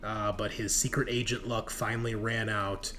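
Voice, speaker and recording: A man's voice: on the low side, flat delivery, moderate pace, bright, forceful tone. A man acting as game master, recapping a tabletop horror game in a dramatic, emphatic storytelling manner. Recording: noticeable background noise.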